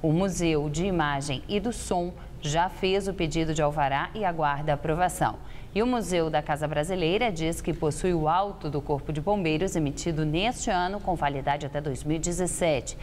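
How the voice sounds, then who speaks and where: A female news anchor reads her script in a crisp, formal way. Her voice sits fairly low. The sound carries a long reverb tail with faint noise underneath. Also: normal speed, darkish tone. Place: TV news studio.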